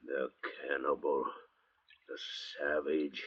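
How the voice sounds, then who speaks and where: A man's voice: extremely low pitch, little pitch movement, slow, breathy edge, exaggerated voice. An actor playing a surly, suspicious thief in an old-time radio drama, needling and sneering as he talks. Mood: sullen, suspicious. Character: surly, sarcastic, suspicious thief stranded on a raft. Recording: dull, lo-fi vintage radio recording.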